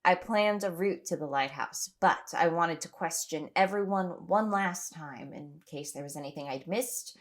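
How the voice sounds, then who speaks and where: A woman's voice: on the low side, normal speed, hardly any pauses, natural voice. A woman acting in an audio drama as an anxious, exhausted young woman trapped on an island, speaking with emotional intensity. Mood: tense, recounting. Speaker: lead actor in an audio drama. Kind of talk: audio drama acting, first-person recollection and dialogue.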